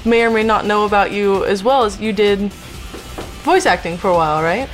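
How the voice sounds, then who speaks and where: A woman hosting a casual chat, playful in tone and quick to laugh. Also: medium pitch, faint music behind. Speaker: host.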